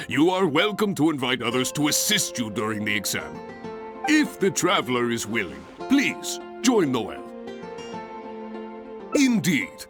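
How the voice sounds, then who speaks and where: A blustery middle-aged guild master for a video game, grumbly, slow n dramatic, voice on the high side. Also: male voice. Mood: hearty, enthusiastic. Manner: theatrical, grumbling, exclamatory. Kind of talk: fantasy video game voice acting. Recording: game music behind the voice. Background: music.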